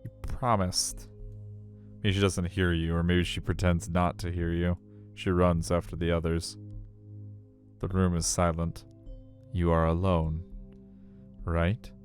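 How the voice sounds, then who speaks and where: Deep-voiced man narrating a game. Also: level tone, a little slow, pauses often, a little mellow. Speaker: streamer reading a visual novel aloud. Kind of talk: storytelling narration with casual asides. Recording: music under the voice.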